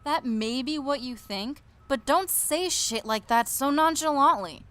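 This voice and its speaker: A woman's voice: sits high, a little slow, a bit bright. A woman playing a hot-tempered, blunt young trainee, scolding in an audio drama. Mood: angry, scolding. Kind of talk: scripted audio drama dialogue.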